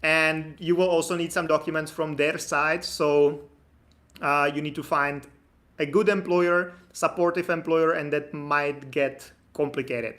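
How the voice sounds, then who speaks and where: Czech guy doing a chatty livestream q&a, kinda high voice, bright and sharp. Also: steady tone, unhurried. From Czech Republic. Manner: casual and chatty, with joking asides to viewers. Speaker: immigration consultant hosting a live stream. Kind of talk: live stream Q&A.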